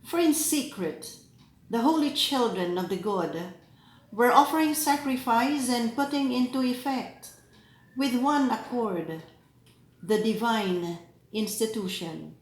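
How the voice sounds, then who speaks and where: A woman teaching scripture readers, reading a liturgical text slowly and deliberately, pausing at each phrase. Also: on the high side, echoey room, poor audio. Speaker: lector trainer. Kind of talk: slow, measured scripture reading and pronunciation drill.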